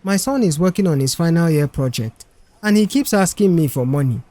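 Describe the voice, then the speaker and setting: A man's voice: fairly high pitch, animated, fairly quick, dark tone, lightly acted. A man voicing a weary, chatty middle-aged male mechanic and proud family man in an audiobook, talking earnestly. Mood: sympathetic. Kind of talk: audiobook character dialogue.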